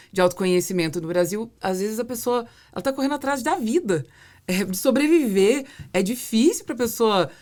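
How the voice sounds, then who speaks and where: A woman's voice: mid-range voice, darkish tone. A Brazilian woman hosting a casual interview, explaining her thoughts at length and building points through repetition. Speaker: podcast host and business mentor.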